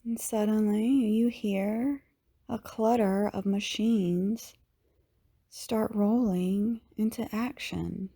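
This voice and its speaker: A woman narrates a guided meditation at an extremely slow pace. Her voice is soft and mellow, sitting at an average pitch. She leaves long pauses between short phrases and lets the endings go hushed. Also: steady tone, husky. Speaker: yoga teacher, meditation guide.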